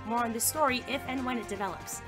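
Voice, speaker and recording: A woman playing a teenage girl reading a high school newscast in an audio drama, projected and presenterly. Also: quick pace, music in the background, lightly acted. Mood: chirpy, formal.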